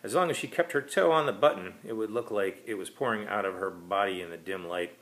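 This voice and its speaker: A man's voice: fairly low pitch, a little fast, fairly bright tone, rough recording. A man reading his own short story aloud as scripted narration.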